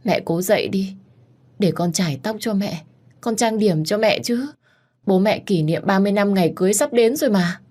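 A woman's voice: deep voice, brisk, darkish tone, breathy edge. A woman voicing a grieving, pregnant young daughter in a pleading, tearful delivery, in a dramatic audio story. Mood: desperate pleading.

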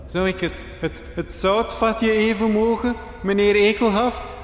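A man's voice: sits high, steady tone, a little slow. A man playing a timid, awkwardly polite dinner guest who hesitates and stammers, recorded in a reverberant space in a radio play. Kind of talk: gothic horror comedy radio drama. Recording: echoey reverberant hall, faint noise.

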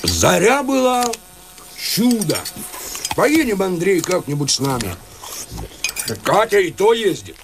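A man's voice: high voice, wildly swooping pitch, slow, long pauses, noisy background, full character voice. An actor playing a boisterous, bragging middle-aged country father in a radio play, talkative and insistent, full of exclamations. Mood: hearty, insistent. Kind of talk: Russian radio play acting.

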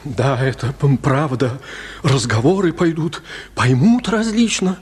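A man acting a meek, anxious amateur writer in a radio play, husky, hesitant and apologetic. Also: medium pitch, a little slow, a little mellow. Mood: dejected.

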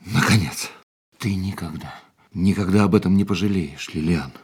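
An ardent, husky-voiced lover. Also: male voice, sits low, normal speed. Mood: tender eager. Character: adult man, ardent, devoted lover. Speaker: lover in radio play. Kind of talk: radio play acting.

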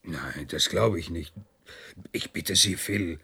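A wary general in a radio play. His voice is deep and husky. He is curt and dismissive as he speaks. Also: slow, pushed and bright, heavily acted. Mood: placating. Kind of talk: German radio play acting.

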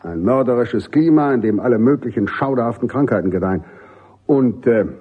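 An actor playing a middle-aged American army general in a German radio drama, jovial, authoritative and emphatic. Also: male voice, medium pitch, lively intonation, a little fast, breathy edge, narrow, tinny audio. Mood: genial, welcoming. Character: commanding American army general, genial but insistent.

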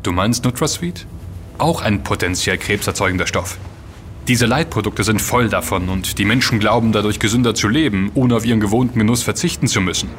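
An actor playing a serious, inquisitive, well-read investigator in an audio drama, speaking articulately. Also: male voice, lowish voice, a little fast, a bit bright, slight background noise, natural voice. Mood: serious, explanatory. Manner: articulate, explanatory. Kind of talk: German audio drama dialogue.

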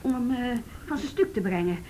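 A woman's voice: animated, brisk, dark tone, lightly acted. An actress playing a conscientious, plain-spoken and slightly wry female juvenile police officer in a radio drama. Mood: uneasy. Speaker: actor in a radio play. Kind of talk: Dutch radio drama acting. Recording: noisy radio play recording with rumble.

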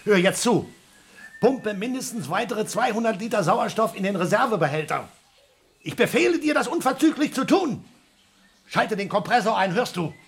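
A man's voice: high-pitched, expressive, normal speed, gravelly, a bit bright, breathy edge, heavily acted. An actor playing a desperate, sarcastic man stuck on a failing station in a radio play, ordering the computer about. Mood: commanding, frantic.

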